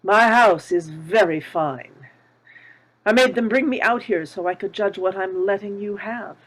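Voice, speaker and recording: A woman's voice: medium pitch, a little slow, natural voice, rough recording. A woman reading a shrewd, haughty elderly landlady at a table read, probing with each question.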